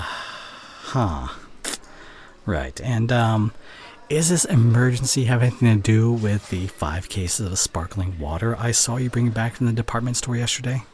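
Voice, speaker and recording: Male actor as exasperated college student, low-pitched with lively swings, halting and teasing, ASMR roleplay, some background noise. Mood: indignant, teasing. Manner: halting, sighing, sarcastic. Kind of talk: ASMR roleplay acting, one side of a conversation. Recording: clear background ambience noise.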